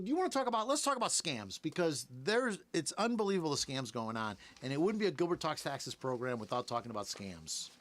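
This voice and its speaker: Chatty Cuban-born man on radio. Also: on the high side. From Cuba. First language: Spanish. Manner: chatty, laughs often, quick asides. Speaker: radio host, tax preparer. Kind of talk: casual radio chat.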